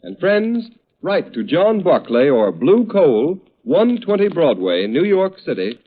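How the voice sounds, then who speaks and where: A tinny, narrow 1930s broadcast carries a man with an American accent announcing from a script. He is formal and emphatic, a little high in pitch and very animated. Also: slow. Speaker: radio announcer.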